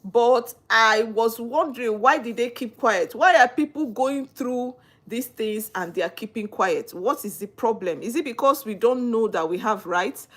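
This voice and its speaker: A woman's voice: fairly high pitch, lively intonation, a little slow, fairly bright tone. Nigerian woman, young adult, earnest livestream host, rhetorical questions, chatty with viewers. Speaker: livestream host on legal topics. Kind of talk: live-stream talk answering viewer comments.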